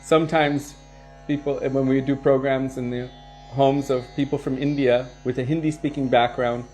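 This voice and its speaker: A man leading a devotional program, casually answering viewer questions and sharing anecdotes in a relaxed way, chuckling now and then. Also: medium pitch, moderate pace, faint music behind. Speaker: kirtan leader and devotional teacher.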